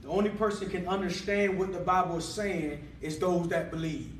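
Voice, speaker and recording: A man preaching a sermon in a church hall, calling for responses and rising to shouts, recorded by a far-off camera. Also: sits high, fairly even intonation, moderate pace, a little hiss or hum. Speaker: pastor.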